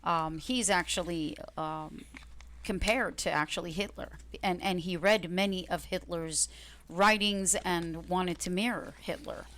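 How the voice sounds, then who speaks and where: A woman from Iraq speaking as a radio panel guest, recounting history in a deliberate, serious manner. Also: lowish voice, fairly bright tone, slight background noise.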